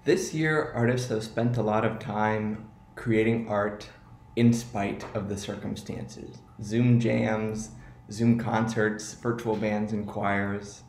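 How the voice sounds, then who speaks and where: Echoey room, man in his twenties, lowish pitch, prepared award tribute. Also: fairly even intonation, slow, darkish tone, a little hiss or hum. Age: 20s. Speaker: award presenter. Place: living room at home. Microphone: camera a few feet away.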